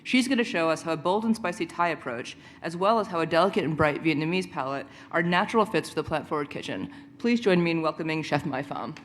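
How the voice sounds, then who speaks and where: A woman's voice: low voice, expressive, noisy background. A woman reading a prepared introduction of the next presenter at a conference. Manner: quick, reading a prepared bio. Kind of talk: scripted introduction.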